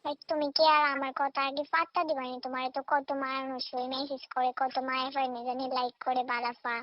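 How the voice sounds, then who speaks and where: A woman's voice: high-pitched, little pitch movement, fast talker. A woman, calling on a muffled phone line and chatting in a pleading, insistent way. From Tripura, India. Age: 20s. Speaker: caller. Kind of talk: casual phone chat.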